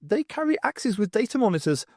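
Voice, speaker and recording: A man's voice: high voice, big pitch swings, fairly quick, darkish tone, no room sound, clean studio sound, pitched above the performer's own voice, exaggerated voice. A man voicing a giggly academic who relishes gruesome details in an audiobook. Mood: gleeful.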